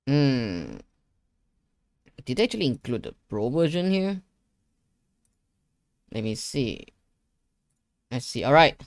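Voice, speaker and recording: A man's voice: medium pitch, sing-song, slow, pauses often, no room sound. Casual young man giving a tutorial, dry sound. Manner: informal, repeats phrases, addresses viewers. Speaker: tutorial host. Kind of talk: casual screen-share tutorial. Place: indoor gaming room at a desk.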